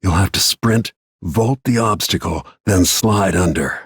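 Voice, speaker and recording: An actor playing a grim, weathered middle-aged royal bodyguard turned assassin in a video game, gravelly and husky, brooding and terse. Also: male voice, low-pitched, measured pace, a bit bright, no echo, studio quality, exaggerated voice. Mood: grim, controlled.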